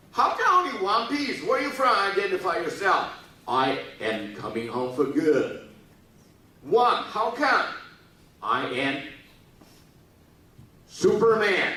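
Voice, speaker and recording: A bright, animated middle-aged man, joking and teasing his way through a speech to a youthful crowd. His voice swings widely in pitch as he coaxes the audience to answer back. It rings through a big echoey hall, heard from some distance, with a faint hum of room noise. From Taiwan. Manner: playful, teasing, prods the audience to respond. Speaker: speaker at a youth forum.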